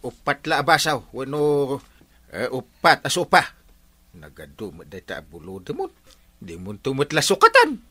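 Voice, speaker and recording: An actor with an Ilocano Filipino accent playing a grudging, exasperated neighbour in a radio comedy drama. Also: male voice, medium pitch, huge pitch swings, normal speed, sharp tone, exaggerated voice. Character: grudging, exasperated neighbour man lending things.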